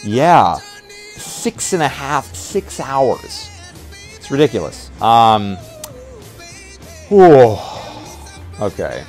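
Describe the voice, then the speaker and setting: A man's voice: medium pitch, sing-song, takes it slowly. Rambling man streamer over music. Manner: hesitant, rambling, repeats phrases. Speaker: streamer and roleplay player. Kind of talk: casual livestream chat. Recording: music playing behind.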